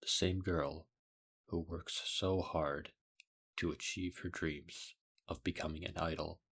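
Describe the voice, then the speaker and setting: Deadpan guy narrating a story recap, super deep croaky voice, takes his time. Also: slow pace. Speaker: video essay narrator.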